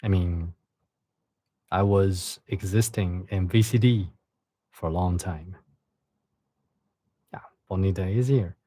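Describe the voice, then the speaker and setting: Give me a man chatting on a livestream and reading aloud, halting and repeating himself. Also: sits low, warm tone, dry recording. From China. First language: Chinese. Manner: halting, repeats words and restarts. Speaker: livestream host and reader. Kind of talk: casual livestream chat and reading a novel aloud.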